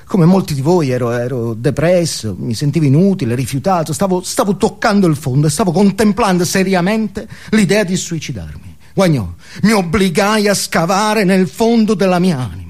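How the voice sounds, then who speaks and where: An actor as a manic, high-pitched motivational guru. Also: male voice, animated, darkish tone. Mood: confiding. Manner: evangelical, bombastic, emphatic, dramatic pauses. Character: manic male motivational guru preaching happiness to crowd. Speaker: stage actor. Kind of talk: theatrical solo monologue.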